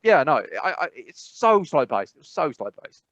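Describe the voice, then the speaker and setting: A man's voice: on the high side, very animated, normal speed, a bit bright, dry recording, lo-fi sound. A man co-hosting a podcast in casual chat, rambling and often correcting himself mid-sentence. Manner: rambling, self-correcting, frequent "I must be honest". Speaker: podcast co-host.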